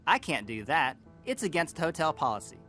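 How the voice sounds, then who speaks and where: A man's voice: on the high side, very animated, moderate pace, sharp tone, voice pushed up. A man voicing a meek, polite hotel receptionist in a game role-play, prim and hesitant. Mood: polite, guarded. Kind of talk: role-played video game dialogue. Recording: music behind the voice.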